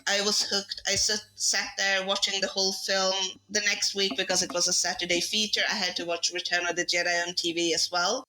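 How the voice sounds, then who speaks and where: Bright-voiced Swedish woman. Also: medium pitch, rough recording. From Sweden. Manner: eager, storytelling. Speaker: podcast co-host. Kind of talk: casual podcast chat.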